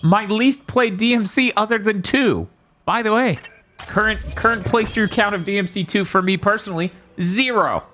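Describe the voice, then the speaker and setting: A man's voice: sits high, moderate pace, a little hiss or hum. Joking American-raised male streamer. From United States. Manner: animated, joking, swears and laughs often. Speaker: streamer host. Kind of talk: casual chat over gameplay.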